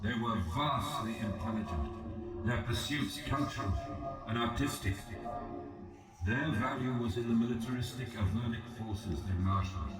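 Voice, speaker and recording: An actor playing an ancient, regal god in a fantasy video game, speaking in a grave, measured, formal and aloof manner. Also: male voice, medium pitch, lightly acted. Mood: stately, instructive. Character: ancient, solemn, regal dark god recounting his fallen empire. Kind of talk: scripted game dialogue, slow formal monologue. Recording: roomy echoing voice, distant, music behind.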